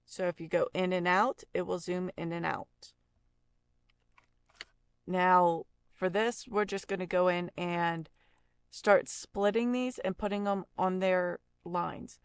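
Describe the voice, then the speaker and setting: Female software instructor, unhurried and plainspoken, casual step-by-step delivery, very dry close recording. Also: on the low side, fairly even intonation, smooth, no echo. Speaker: tutorial instructor and voice actor.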